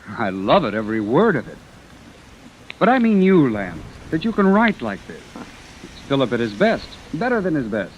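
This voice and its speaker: A man's voice: medium pitch, huge pitch swings, normal speed. An actor playing an effusive, emphatic male theater producer in a 1950s radio drama. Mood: excited, admiring. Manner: gushing, emphatic. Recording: muffled noisy vintage radio recording.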